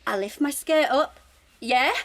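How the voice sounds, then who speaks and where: An actress playing a defiant, cheeky young prostitute of eighteenth-century London, mocking and brazen, in a period audio drama. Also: female voice, high voice, measured pace. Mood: mocking defiance.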